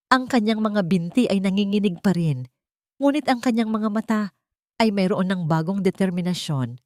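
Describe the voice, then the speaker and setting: A woman's voice: lowish voice, a little mellow, dry recording, clean studio sound. A woman narrating a scripted dramatic story. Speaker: narrator of love stories.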